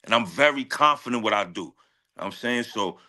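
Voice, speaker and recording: A man's voice: mid-range voice, lively intonation, fast, bright, forceful tone. A man, a rapper talking casually in an interview with heavy slang, confident and emphatic, often repeating his phrases. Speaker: guest rapper.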